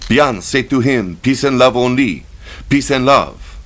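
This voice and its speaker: A man's voice: mid-range voice, expressive, measured pace, fairly bright tone, voice pushed up. A man voicing a drunk Frenchman who pleads in heavily French-accented English. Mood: pleading. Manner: pleading, insistent.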